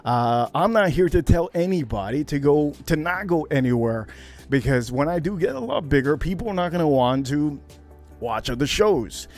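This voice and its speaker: A man's voice: a little high, lively intonation, moderate pace, darkish tone, soft background music. A man hosting a casual livestream, rambling with lots of fillers and greeting viewers by name. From United States. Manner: rambling, many fillers, reads out viewer names. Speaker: livestream host.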